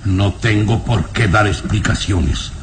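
A man playing a proud, sinister nobleman and father in a radio serial, speaking in a haughty, menacing, deliberate way. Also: on the low side, slight background noise. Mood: defensive. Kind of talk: Spanish-language radio serial acting.

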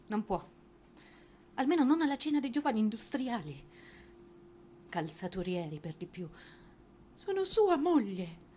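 Humiliated wife, swinging wildly in pitch. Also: female voice, a little mellow, slight background noise. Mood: wounded indignation. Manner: dramatic, mocking, stretching words for emphasis. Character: humiliated, indignant wife of a crude tycoon. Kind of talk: theatrical stage monologue.

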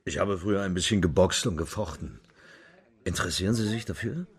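A man's voice: deep voice, a little fast, breathy edge. A man playing a bluff, hard-drinking military officer of imperial China in a radio drama, blunt and brash in manner. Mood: sociable.

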